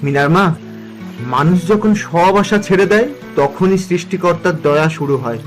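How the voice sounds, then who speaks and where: A man's voice: high voice, unhurried. A man playing a humble, pious poor farmer in a cartoon moral story, speaking earnestly and plainly. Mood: earnest, hopeful. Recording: music behind the voice.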